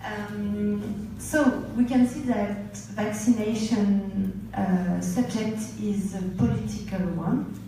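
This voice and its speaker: A woman moderating a conference panel, introducing speakers and asking measured, formal questions. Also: mid-range voice, fairly even intonation, dark tone. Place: large conference hall. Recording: echoey hall, distant mic, faint noise.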